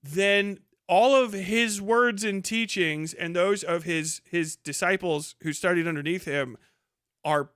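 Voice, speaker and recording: A man's voice: fairly high pitch, fairly bright tone, no echo, clean studio sound. A man doing casual, off-the-cuff live commentary on the news in a blunt, sardonic, rambling and argumentative way, with some swearing.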